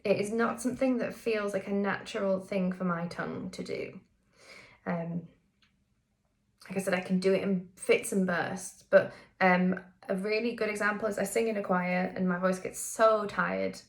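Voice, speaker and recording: A woman's voice: medium pitch, fairly even intonation, normal speed, vocal fry. A woman singer and vocal coach from the UK talking candidly in a casual vlog, with frequent ums and self-corrections.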